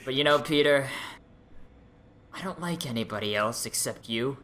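Male actor as wavering supervillain scientist, middle-aged, sharp forceful voice, exclamatory, self-mocking, comedy game dub. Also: mid-range voice, normal speed, a little hiss or hum, close to an everyday voice. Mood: chummy. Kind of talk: improvised comedic game fandub.

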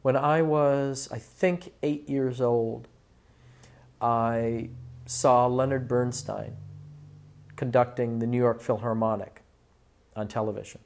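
A man in his forties speaking deliberately and articulately in a reflective interview as an audiobook director. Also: mid-range voice, steady tone, darkish tone. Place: small office, indoors. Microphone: lapel mic.

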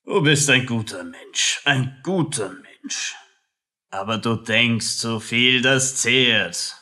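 A man's voice: mid-range voice, sharp tone. An actor playing a condescending, self-pitying male army captain in a radio play, drawing out repeated phrases. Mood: patronising, self-pitying. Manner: patronising, drawn-out repetitions.

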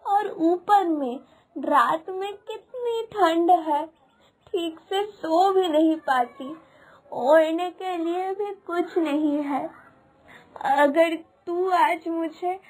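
A woman's voice: very high voice, heavily acted. A woman voicing a frail, needy old village woman in a cartoon, pleading and grateful in her manner. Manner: pleading, slow, plaintive. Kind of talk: Hindi cartoon moral story acting. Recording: music behind the voice.

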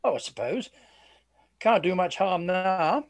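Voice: medium pitch, expressive, unhurried, gravelly, no echo. An actor playing a weary, haunted old sergeant major in a radio play, with guarded, ominous, old-fashioned courtly speech. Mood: reluctant, ominous.

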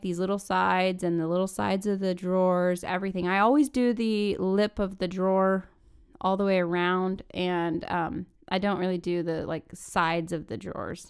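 Diy vlogger lady, really clean smooth voice, chatty how-to voiceover, super informal. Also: on the low side, fairly even intonation, normal speed. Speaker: DIY furniture-flip vlogger. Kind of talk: casual chatty tutorial voice-over.

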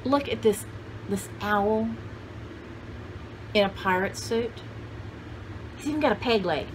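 An older woman talks at a slow, unhurried pace in a casual, rambling show-and-tell style. Her pitch sits in the middle for a woman, and she often thinks aloud. The recording is a homemade one with clear background noise. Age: older, about 60s. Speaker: vintage haul video host. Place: small indoor room with cluttered shelves. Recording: clearly noisy home camera recording.

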